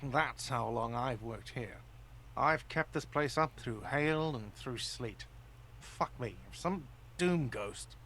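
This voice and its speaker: An actor playing a grumpy middle-aged hostel manager in a video game, gruff and defensive, swearing now and then. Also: male voice, mid-range voice, takes it slowly, a bit bright, slight background noise. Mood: grumbling. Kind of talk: game voice acting.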